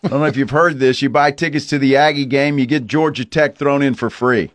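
A man's voice: medium pitch, fairly even intonation, brisk, dry recording. A man hosting a sports radio show in casual, conversational talk.

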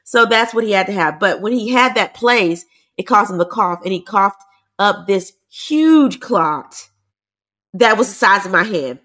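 Congested woman, lively chatty co-host. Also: mid-range voice, measured pace. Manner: animated, explains medical terms plainly. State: congested, stuffy nose. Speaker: podcast co-host. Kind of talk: casual chat.

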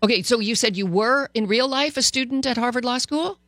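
A woman hosting a radio call-in show as a psychologist, giving animated, encouraging advice. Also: on the high side, a little fast, no room sound, studio quality. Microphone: studio microphone.

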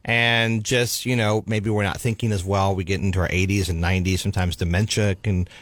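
A man speaking as a throat and voice doctor, giving calm, measured, clinical explanations in an interview. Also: sits low, no echo. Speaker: otolaryngologist guest.

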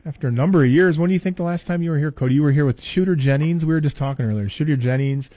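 A man's voice: fairly high pitch, racing, warm tone, no room sound. A man who books concerts, chatting loosely in the studio and promoting shows. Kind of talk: casual radio chat.

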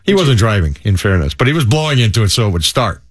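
A man's voice: lowish voice, very animated, fast, no echo, clean studio sound. A man co-hosting a talk radio show, joking his way through crime news with rhetorical asides. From Ireland. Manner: wisecracking, rhetorical "right?" and "okay?".

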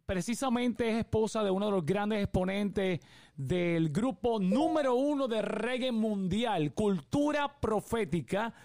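A Puerto Rican man hosts a live radio show with high-pitched, eager chatter full of fillers. He is close on a studio mic with a dry sound. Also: darkish tone, dry recording. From Puerto Rico. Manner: enthusiastic, chatty, many fillers. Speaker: radio host.

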